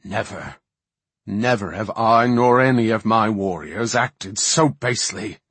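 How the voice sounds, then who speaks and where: Man playing a hot-tempered old king, boastful, forceful, declamatory, indignant, fantasy audiobook, loud delivery. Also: on the low side, lively intonation, takes it slowly, husky, no echo, full character voice. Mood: outraged. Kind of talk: fantasy audiobook character acting.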